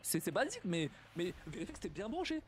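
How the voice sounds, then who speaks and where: A young man streaming casually and reacting to stories, talking in a halting way, repeating words and laughing often. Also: sits high, wildly swooping pitch, slight background noise.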